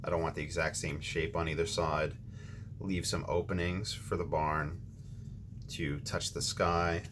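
A man with a very low voice talks through a watercolor lesson at his painting table, loose and unhurried, pausing with ums and correcting himself as he goes. Steady room noise hums behind him. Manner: relaxed, thinking aloud with ums and restarts. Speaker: watercolor tutorial host. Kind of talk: casual painting instruction.

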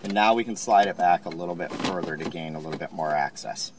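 A calm, lowish male voice walks through a car installation one step at a time. He talks plainly and evenly. A faint hum of vehicle ambience sits behind him. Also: fast. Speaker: installation instructor. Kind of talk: step-by-step how-to narration. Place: inside a car.

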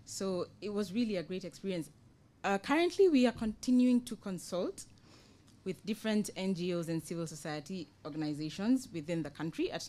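A young woman with a Kenyan accent gives an informal panel presentation as an NGO project officer, explaining in a measured way with hesitant pauses. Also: mid-range voice, dark tone. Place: conference room, indoors, panel table. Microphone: table microphone on a stand.

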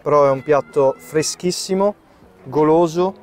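A man in his thirties, a chef giving a live cooking demonstration under a tent in a park, explaining each step as he works, with fillers and pauses, recorded by a camera at a distance. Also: a little high. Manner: explanatory, thinking aloud with fillers while working. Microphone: far camera.

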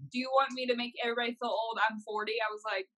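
Co-host, American woman of thirty: sharp bright tone, fairly high, casual and unpolished. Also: little pitch movement, racing. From United States. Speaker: podcast co-host, professional wrestler. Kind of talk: casual chat. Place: plain indoor room.

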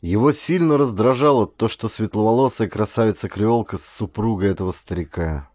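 A man narrating a historical adventure audiobook in an expressive, theatrical way with deliberate pauses. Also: fairly low pitch, dry recording, clean studio sound.

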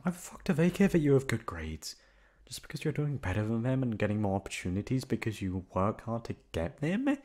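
A young man playing a gruff but affectionate, protective delinquent student in an intimate ASMR roleplay, talking in a casual, foul-mouthed way. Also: mid-range voice, sing-song, a little fast, darkish tone. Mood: indignant, angry.

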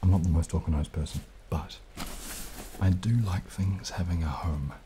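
Very deep, soft-spoken young man. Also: fairly even intonation. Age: young adult. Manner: soft-spoken, hesitant, unhurried. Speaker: ASMR video creator. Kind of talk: casual ASMR, partly whispered monologue. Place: small dim indoor room. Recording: clearly audible background noise, added rain.

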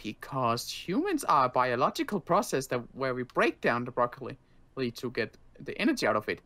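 Worn-out, grudging male survivor for game roleplay, man acting; medium pitch, dry, halting delivery. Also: wildly swooping pitch, bright, forceful tone, no echo. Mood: dry, explaining. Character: weary, grudging injured male survivor of a zombie apocalypse. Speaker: roleplay player.

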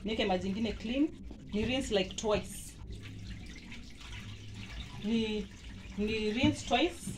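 A woman's voice: fairly high pitch, slow pace, long pauses. A Kenyan woman filming a casual how-to on her phone in a small home laundry area, chatting and pointing things out. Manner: chatty, mixes Swahili and English, pointing things out. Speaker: vlogger. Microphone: handheld phone camera. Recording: noisy with running water.

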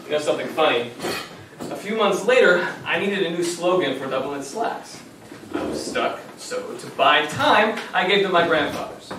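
A man's voice: fairly high pitch, expressive, moderate pace, close to an everyday voice. A young man playing a dutiful, exasperated grandson in a live comic stage play. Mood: wry narrating. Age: young adult. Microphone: distant camera microphone in the audience. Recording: very echoey, distant, noisy stage recording.

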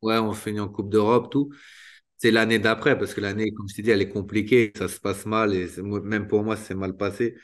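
A man in his thirties, a former footballer, talking candidly in an interview over a video call, restarting sentences and filling pauses often. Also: on the low side, little pitch movement. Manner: spontaneous, halting, many restarts and fillers. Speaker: retired footballer guest. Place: small room indoors. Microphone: video call webcam.

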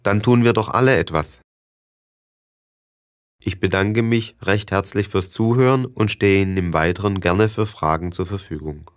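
A man with a very low voice reads a prepared text for learners, steady and measured. His tone is smooth and clear throughout. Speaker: reader of listening texts. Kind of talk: scripted reading for learners.

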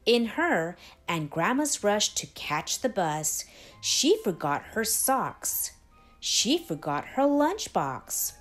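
A woman reading a children's story aloud in a deliberate, expressive way with dramatic pauses. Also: mid-range voice. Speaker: storybook reader, channel host. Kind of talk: children's read-aloud, scripted narration. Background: background music.

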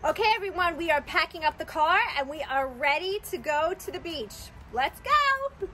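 A woman, chatting brightly to camera as a family vlogger, filmed on a handheld phone in her kitchen and car. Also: high-pitched, lively intonation, moderate pace, slight background noise. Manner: bubbly, chatty, addresses viewers directly. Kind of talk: casual vlog chat to camera.

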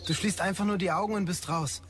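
An actor playing a creepy, insistent street peddler with cryptic talk in a sci-fi audio drama. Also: male voice, high voice, fairly even intonation, fast, over music, lightly acted. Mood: coaxing, eerie.

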